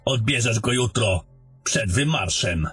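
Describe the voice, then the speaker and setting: A man playing an old, haughty, sardonic wizard in a fantasy audio drama, lecturing in a deliberate, grumbling manner over music. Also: medium pitch, animated, a bit bright, breathy edge, exaggerated voice. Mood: commanding. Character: old haughty sardonic male wizard, gruff mentor to a soldier.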